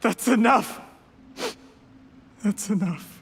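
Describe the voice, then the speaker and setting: A man's voice: sits high, takes it slowly, gravelly, fairly bright tone, slight background noise, heavily acted. A man voicing an ambitious, cornered young schemer in a video game, speaking in an earnest, strained, self-justifying way. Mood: desperate. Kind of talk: scripted game voice acting. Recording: long echoing reverb.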